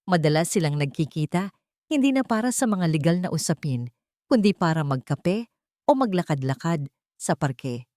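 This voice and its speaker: Low-voiced woman narrating a story. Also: expressive, normal speed, dark tone, no echo, studio quality. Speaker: audiobook narrator. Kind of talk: scripted dramatic story narration.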